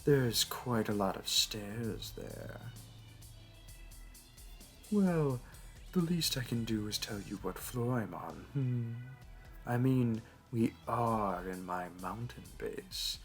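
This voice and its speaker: A smug male supervillain, acted by a man for an audio roleplay, flirting and teasing as he goes. He speaks slowly and theatrically in a slightly low voice, with music playing behind him. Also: lively intonation. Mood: playful, teasing. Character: smug, flirty male supervillain hosting a hero in his lair. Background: background music.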